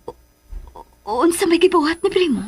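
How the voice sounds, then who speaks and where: A woman's voice: very high voice, sing-song, unhurried, heavily acted. A woman with a Cebuano Filipino accent playing an indulgent, coaxing mother of a grown daughter in a radio drama. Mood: concerned. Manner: coaxing, mixing English into Cebuano.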